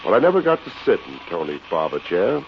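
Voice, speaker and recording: An actor playing a wisecracking, deadpan private investigator with an American accent, in the style of fifties radio detective drama. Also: male voice, low-pitched, quick pace, gravelly, slight background noise, full character voice. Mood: wry narration. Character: wry, hard-boiled male private investigator. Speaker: radio detective lead and narrator. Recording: dull vintage radio recording, music behind.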